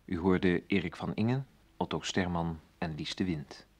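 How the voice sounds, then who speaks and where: A man's voice: sits low, normal speed, husky. A man working as a radio announcer, reading scripted closing credits.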